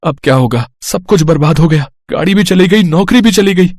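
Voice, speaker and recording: A man's voice: on the high side, lively intonation, a little fast, darkish tone, slight background noise, close to an everyday voice. A man playing a hapless, anxious young husband in an audio story, pleading and fretful. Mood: despairing.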